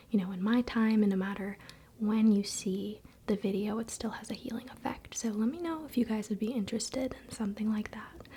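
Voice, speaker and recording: A woman whispering softly in a breathy voice, speaking as an ASMR host giving calm spiritual guidance. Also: medium pitch, slight background noise. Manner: soft, slow, unhurried.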